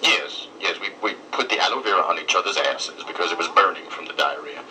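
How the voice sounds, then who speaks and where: Distant noisy phone recording, man putting on earnest distressed customer, low forceful voice, deadpan, prank call. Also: natural voice. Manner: deadpan, insistent, halting. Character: earnest, distressed male restaurant customer with an embarrassing complaint. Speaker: prank caller.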